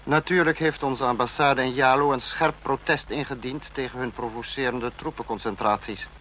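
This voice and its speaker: Male supreme leader of a police state, played by a man, medium pitch, clipped and imperious, old radio drama. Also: fairly even intonation, moderate pace, sharp tone, a little hiss or hum, natural voice, low-quality recording. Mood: curt, commanding. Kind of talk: old radio play acting.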